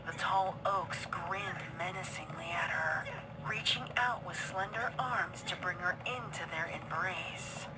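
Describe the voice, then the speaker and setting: A woman reading dark fiction as a dramatic narrator, her phrases often falling hushed. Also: fairly low pitch, a little slow, heavy background noise. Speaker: story narrator. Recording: very loud storm-like ambience behind.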